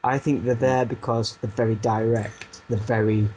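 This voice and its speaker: A man's voice: mid-range voice, little pitch movement, normal speed, darkish tone, rough recording. A man in his thirties with a thick Barnsley Yorkshire accent talks casually and self-deprecatingly as an interview guest. He circles and restarts his thoughts. A faint noise floor sits under his voice. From Barnsley, England. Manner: rambling, self-deprecating, frequent restarts and fillers. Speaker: music producer turned coach.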